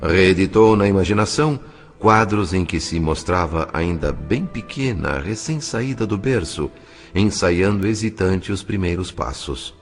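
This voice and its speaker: A man's voice: low-pitched, animated, a little slow, faint music behind. A man with a Brazilian accent playing a solemn, compassionate, fatherly spirit who narrates an audio drama. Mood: solemn, tender.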